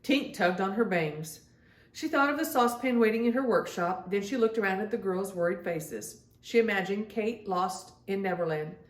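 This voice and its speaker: A woman reading a children's story aloud in an expressive storytelling style, speaking as if to young listeners. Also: medium pitch, moderate pace, roomy sound. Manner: addresses young children directly, acts out dialogue. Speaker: children's storybook reader and host.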